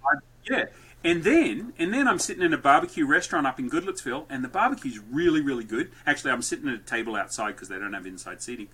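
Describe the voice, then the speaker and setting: A loud, talkative man with a bright, forceful tone. He rambles through stories and tosses in dad jokes as he goes. His pitch sits in an ordinary middle range. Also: sing-song, quick pace, breathy edge. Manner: loud, joke-telling, rambling. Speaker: podcast guest, entrepreneur. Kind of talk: lively conversational storytelling.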